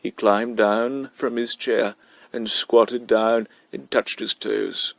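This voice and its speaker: A man's voice: fairly low pitch, steady tone, takes it slowly, vocal fry, dry recording. A man narrating a short story from a script as a reader.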